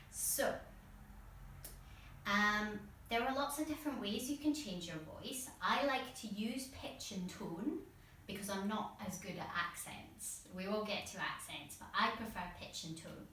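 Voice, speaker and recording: Bright woman teacher in an echoey room. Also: medium pitch. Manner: encouraging, informal. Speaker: drama workshop presenter. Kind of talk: instructional voice workshop for children. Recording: very echoey room, distant mic, faint noise.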